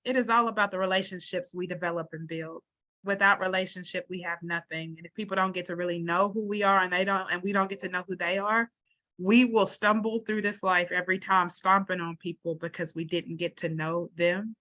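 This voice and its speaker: A woman's voice: mid-range voice, sharp tone. A woman moderator closing a panel with thanks and a solemn spoken blessing.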